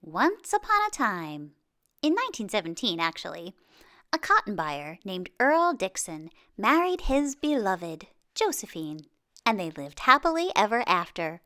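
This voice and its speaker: A woman reading a children's picture book aloud in an expressive way, with dramatic pauses and exclamations. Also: medium pitch. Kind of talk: children's storybook narration.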